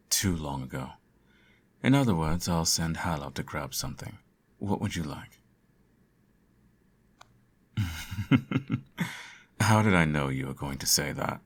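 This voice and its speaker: A man playing a teasing, doting supervillain in an intimate ASMR roleplay, suave and coaxing, with a gravelly, husky voice. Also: extremely low pitch, exaggerated voice. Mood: caring. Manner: suave, theatrical, coaxing, uses pet names. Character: teasing, doting male supervillain nursing his hero nemesis.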